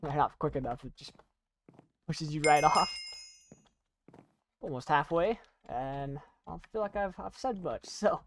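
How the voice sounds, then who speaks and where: Young adult, casual gaming commentary, medium pitch, rambling, halting, self-deprecating, background noise. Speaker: YouTuber playing and commentating on a game.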